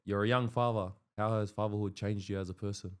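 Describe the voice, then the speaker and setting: A man's voice: fairly low pitch, fairly even intonation, a little fast, clear, resonant tone. A man hosting a casual podcast interview, laid-back and slangy, laughing often. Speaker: podcast host.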